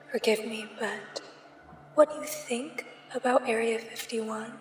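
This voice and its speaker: A woman playing a soothing but uncanny government examiner in a sci-fi ASMR roleplay, speaking in a hushed, measured and formal way. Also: fairly high pitch. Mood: calm, secretive. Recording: echoey long reverb, faint background noise. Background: sci-fi ambience.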